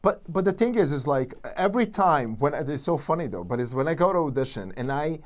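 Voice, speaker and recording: A man's voice: fairly high pitch, a little fast. A man with a Serbian accent, a guest actor chatting casually in an interview, telling stories with lots of fillers and restarts. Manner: animated storytelling, many fillers and restarts.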